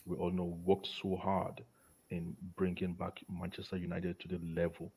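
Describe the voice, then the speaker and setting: A man on a casual football panel, talking haltingly and often repeating the starts of his words. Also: sits low, monotone, creaky, dark tone, slightly rough. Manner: halting, stuttered word starts. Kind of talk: casual sports discussion.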